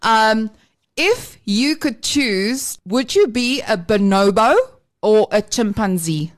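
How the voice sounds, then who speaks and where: A woman's voice: on the high side, expressive, takes it slowly. A woman hosting a podcast, explaining an idea in an upbeat, conversational way. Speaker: podcast host. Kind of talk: casual podcast chat.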